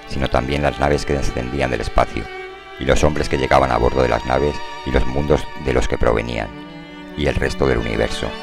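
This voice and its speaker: A man narrating a dramatized novel in a measured, literary storytelling style. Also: extremely low pitch, vocal fry. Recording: prominent music under the voice.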